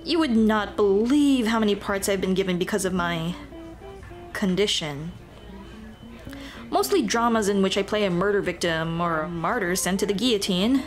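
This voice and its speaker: A woman's voice: mid-range voice, moderate pace, faint music behind, close to an everyday voice. A woman voicing a cheerful headless Dullahan on a date in a scripted ASMR roleplay, chatting playfully and directly to the listener. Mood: upbeat, wry. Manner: playful, animated, punning, speaking directly to the listener.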